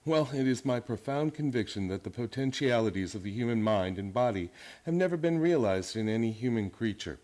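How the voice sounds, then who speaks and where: A mid-pitched young man's voice in radio-play style. The actor plays an intense, idealistic teacher who speaks formally and lectures with earnest conviction. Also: animated, a little fast, darkish tone, lightly acted. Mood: earnest, lecturing. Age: young adult. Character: intense, idealistic young male psychology teacher obsessed with mind power. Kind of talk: radio drama acting.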